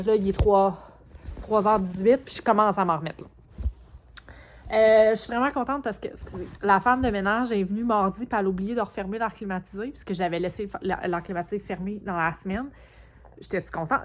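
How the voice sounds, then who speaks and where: A woman with a Quebec French accent chatting casually to her vlog in an informal, rambling way, often interrupting herself. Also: mid-range voice. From Quebec, Canada. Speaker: vlogger. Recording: clearly noisy, travel ambience.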